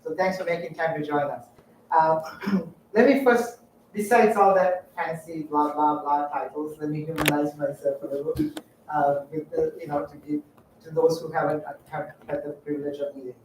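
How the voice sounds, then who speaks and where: A middle-aged man from Sri Lanka telling personal stories in a public talk, halting and reflective with frequent fillers. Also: a little high, fairly even intonation, darkish tone, a little hiss or hum. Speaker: guest speaker. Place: lecture room. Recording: echoey room, distant mic.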